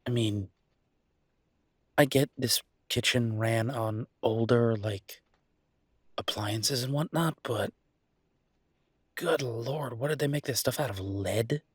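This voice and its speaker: A man playing an easygoing, teasing chef boyfriend in an intimate audio roleplay, chatting with affectionate, playful exasperation in a husky voice. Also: mid-range voice, takes it slowly, long pauses, no echo, clean studio sound. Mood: exasperated, teasing. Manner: conversational, playful, exasperated banter.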